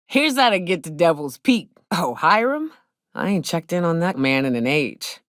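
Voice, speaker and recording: Casting: a gruff, hard-drinking frontier huntress for a game. Low-pitched, folksy and sardonic, with swooping, animated delivery. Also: female voice, dry recording, studio quality, exaggerated voice. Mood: wry, tipsy, then casual, wry. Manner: folksy, blunt, sardonic drawl. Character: hard-drinking, gruff, wry frontier woman guide and hunter. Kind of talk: video game character dialogue.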